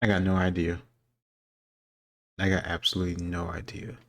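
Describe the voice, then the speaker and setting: A man's voice: deep voice, little pitch movement, normal speed, a bit bright, soft background music. A man giving casual, off-the-cuff commentary as a video host, thinking aloud and offering his opinions.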